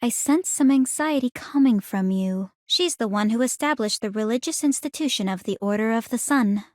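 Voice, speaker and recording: An actress playing a calm, analytical young woman in a video game, speaking in a measured, formal and articulate way. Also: fairly high pitch, dry recording, studio quality, natural voice. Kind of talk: scripted video game character dialogue.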